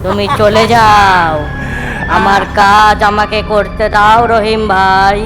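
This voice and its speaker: Woman playing a cartoon ghost, vengeful lady of the mansion, menacing, very slow, loud music behind. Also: medium pitch, flat delivery, lightly acted. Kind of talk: dubbed cartoon acting.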